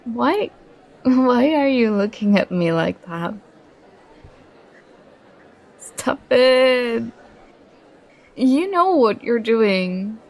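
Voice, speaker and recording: A woman's voice: fairly high pitch, animated, exaggerated voice, rough recording. A young woman playing a nerdy, affectionate girlfriend who gets flustered and bashful, in an ASMR roleplay. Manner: bashful, halting, playfully protesting.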